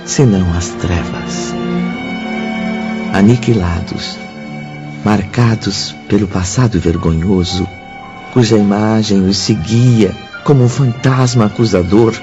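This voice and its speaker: A Brazilian actor playing a grim, solemn narrator of tormented souls in a radio drama. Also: male voice, on the low side. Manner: measured, grim declamation. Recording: dull band-limited sound, music underneath. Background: background music.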